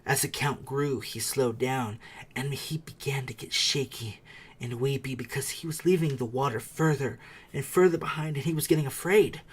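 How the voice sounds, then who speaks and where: A man's voice: medium pitch, moderate pace, a little hiss or hum. A man reading a storybook aloud as a dramatic narrator, with theatrical, suspenseful delivery. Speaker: audiobook reader.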